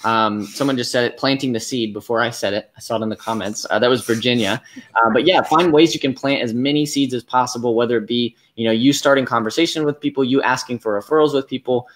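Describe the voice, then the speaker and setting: A young man hosting a live interview over a video call from a small room, talking in a relaxed way with plenty of fillers. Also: mid-range voice, fast, a little hiss or hum. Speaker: business coach host. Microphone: webcam on video call.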